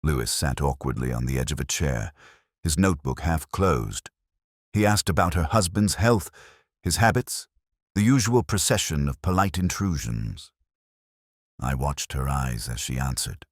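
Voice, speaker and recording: A man with an extremely deep voice narrates a detective story. His delivery is measured and literary, with a brooding air and plenty of melodic movement. The sound is dry and free of room. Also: breathy edge, no room sound. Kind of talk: scripted first-person detective story narration.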